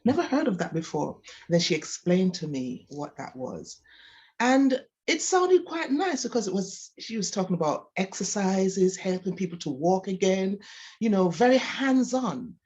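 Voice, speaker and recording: A woman's voice: mid-range voice, very animated, moderate pace, a little mellow, lo-fi sound. A woman with a Jamaican accent answering interview questions as a physiotherapist, explaining and talking about herself. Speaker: physiotherapist guest.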